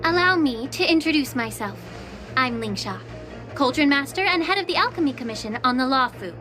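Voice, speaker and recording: An actress playing a calm, long-lived alchemist official who speaks with dry, teasing sarcasm in a video game. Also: female voice, high-pitched, brisk, music in the background. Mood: composed. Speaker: head alchemist.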